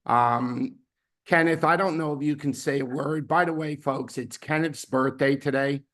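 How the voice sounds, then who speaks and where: A man hosting a weekly team video call, his voice hoarse from laryngitis, rambling with fillers and restarts. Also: a little high, fairly even intonation, normal speed, a bit bright. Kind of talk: casual team announcements.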